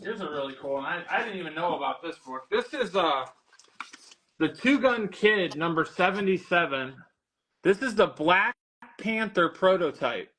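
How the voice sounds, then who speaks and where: A man's voice: high-pitched, animated, moderate pace, a bit bright. A man casually selling comic books on a live stream, quoting grades and prices in an easygoing way and laughing often. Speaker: comic book seller on a live sale. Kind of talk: casual live sales chat.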